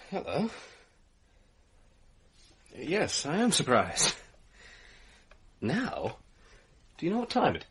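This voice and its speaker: An actor playing a sly man taking a late-night phone call, slow, hushed and knowing. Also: medium pitch, slightly rough, heavily acted. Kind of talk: radio drama acting.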